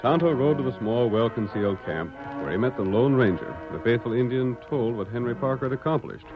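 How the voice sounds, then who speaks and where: A man's voice: medium pitch, animated, brisk, lightly acted. A man with an American accent narrating a vintage radio western drama in a declamatory, dramatic way. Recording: muffled old radio recording, music behind.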